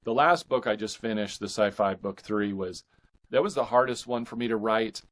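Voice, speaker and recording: A man with a low-pitched voice talks as a guest author in a relaxed interview. He is earnest and reflective, feeling his way through thoughts in halting phrases and doubling back on words. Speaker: guest, indie fiction author. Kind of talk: casual interview conversation.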